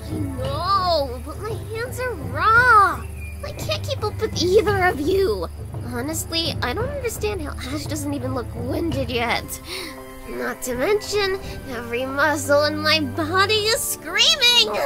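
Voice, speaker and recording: A woman's voice: high-pitched, sing-song, measured pace, music in the background. A woman voicing a cheeky, dramatic young woman in a visual novel, exclaiming and complaining playfully. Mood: whiny complaint. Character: bubbly, dramatic, cheeky young woman, a trainee who loves comfort. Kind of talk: visual novel character dialogue.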